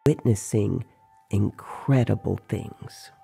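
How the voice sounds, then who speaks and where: A man narrating a scripted audio story in a measured, serious manner. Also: on the low side, vocal fry, dark tone, slightly rough, soft background music.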